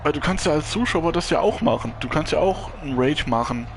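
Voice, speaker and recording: A man's voice: on the high side, expressive, a little fast, creaky, slight background noise. A man from Germany live-streaming a football video game, chatting casually and rambling as he answers viewers.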